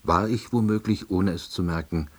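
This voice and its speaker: A man playing a wry, self-deluding office worker who narrates his story in a deadpan, reflective way. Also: sits low, moderate pace. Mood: dry musing. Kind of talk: first-person radio play narration.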